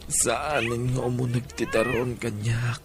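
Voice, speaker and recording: A man's voice: medium pitch, level tone, unhurried, noisy background, lightly acted. A man playing an ailing, weary husband and father in an Ilocano audio drama, groaning a little, with an Ilocano Filipino accent. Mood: pained, weary. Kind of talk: Ilocano radio-style audio drama acting.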